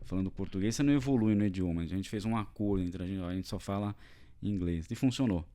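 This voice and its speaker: A man's voice: low voice, fast talker, mellow. A man from Brazil talking casually as a podcast guest, rambling with many fillers and tag questions. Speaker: podcast guest, tax executive. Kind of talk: casual interview chat.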